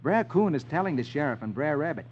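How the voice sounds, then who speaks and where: A man's voice: a little high, fast, a little hiss or hum. A man hosting a 1950s children's radio show, telling stories from the funny pages in a theatrical way. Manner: animated, theatrical. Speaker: host reading the funny pages. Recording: muffled 1950s radio recording, music behind.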